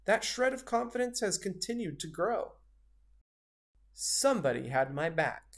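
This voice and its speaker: This is a narrator reading a children's storybook aloud. The delivery is theatrical and expressive, swinging widely in pitch. The pace is slow, with dramatic pauses for effect. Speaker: storybook reader. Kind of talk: animated children's read-aloud, scripted narration.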